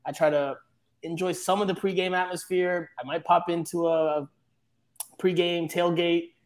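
A man's voice: high-pitched, fairly even intonation, normal speed. Easygoing man, guest on a podcast. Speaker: guest, sports radio reporter. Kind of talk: casual podcast interview.

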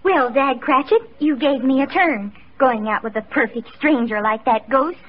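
A woman's voice: high voice, normal speed. A woman playing a fretful, wide-eyed young housewife in 1940s radio comedy style. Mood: wry, startled. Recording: muffled, noisy old radio broadcast.

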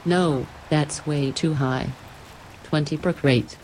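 A woman's voice: low-pitched, steady tone, slow, a little mellow, a little hiss or hum, poor audio. A woman voicing a bossy, arrogant red-headed female ship captain who speaks curtly. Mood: commanding. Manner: commanding, curt.

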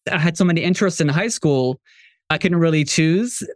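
A man from Morocco talking conversationally as a podcast guest, telling his own story as a software entrepreneur. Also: a little high, brisk, no room sound, studio quality.

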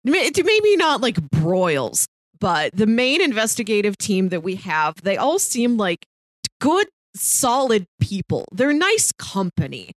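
A woman's voice: medium pitch, very animated, a little slow, no echo. A woman co-hosting a podcast, chatting casually as she reviews a show. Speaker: podcast co-host.

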